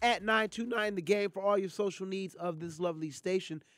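A man hosting a sports radio show in a studio, chatty and energetic, his voice scratchy and nasal from a cold. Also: high-pitched, fairly quick, no echo. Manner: energetic, chatty, lots of shout-outs. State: congested, scratchy voice. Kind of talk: casual sports radio talk.

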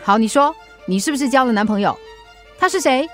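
A woman acting a strict, exasperated mother in a Mandarin radio drama, scolding and quick to anger. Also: sits high, lively intonation, faint music behind. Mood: accusing, angry. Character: strict, exasperated working mother of a teenage girl.

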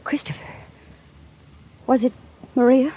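A woman's voice: sits high, fairly even intonation, a little slow, warm tone, slight background noise. An actress playing an anxious young woman in an old radio drama, questioning in a dramatic, refined way. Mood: alarmed. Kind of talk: 1940s-1950s radio acting. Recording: muffled old radio recording.

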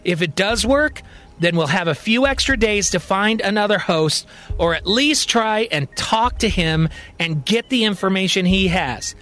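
A man's voice: sits high, a little slow, fairly bright tone, voice pushed up. A man voicing a grave, commanding male president in a sci-fi audio story, speaking with insistent authority. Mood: resolute. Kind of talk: acted dialogue in audio drama storytelling.

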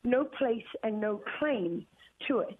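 A woman's voice: fairly high pitch, expressive, husky. Middle-aged woman on a tinny phone line. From South Africa. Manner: deliberate, emphatic, with many fillers and repeated words. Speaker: guest journalist. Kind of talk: phone-in interview.

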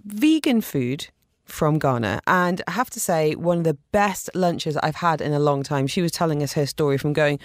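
Female radio food presenter, slightly low register, emphatic and effusive interviewer, tight dry studio. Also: animated, moderate pace, dry recording. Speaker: radio food show host.

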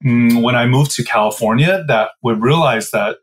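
A man's voice: mid-range voice, moderate pace. Forties guy from the midwest, thoughtful, rambles a bit with lots of likes, interview chat. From Midwestern United States. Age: 40s. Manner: reflective, many filler "like"s. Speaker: guest, business co-founder. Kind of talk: casual interview answers.

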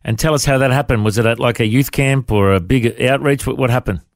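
A man's voice: medium pitch, brisk, vocal fry, no room sound, studio quality. A man hosting a podcast interview, prompting his guest with encouraging questions. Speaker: podcast host.

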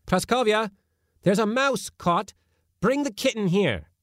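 A bellowing, irascible old-school Latin master. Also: male voice, on the high side, very animated, slow pace, a little mellow, dry recording, clean studio sound, voice pushed up. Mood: commanding. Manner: blustering, bellowing, scolding. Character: irascible middle-aged male Latin schoolmaster.

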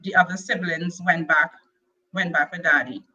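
Bright-voiced Tobagonian woman telling her story. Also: on the low side, steady tone, normal speed, husky, muffled recording. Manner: soft-spoken, hesitant with many fillers and restarts. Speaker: guest sharing her testimony. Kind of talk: personal testimony interview.

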